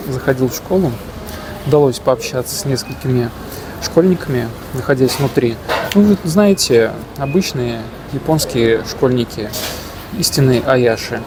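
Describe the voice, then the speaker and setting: A man's voice: mid-range voice, noisy background. A man casually narrating his travel vlog outdoors, joking, boasting and using slang. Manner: jokey, boastful, slangy storytelling. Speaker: travel vlogger.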